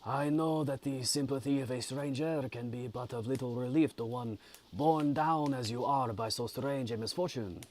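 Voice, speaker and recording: A man voicing a courteous, sympathetic French gentleman from a gothic novel, with a put-on French accent and formal phrasing. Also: medium pitch, normal speed, pitched above the performer's own voice, natural voice. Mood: gentle concern.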